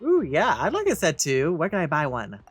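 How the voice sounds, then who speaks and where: A woman gives casual let's play commentary in a low voice. Her delivery is very lively and conversational, full of asides, with faint game music behind. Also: brisk, breathy edge. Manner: reacts aloud, conversational asides to game characters. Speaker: gaming video host.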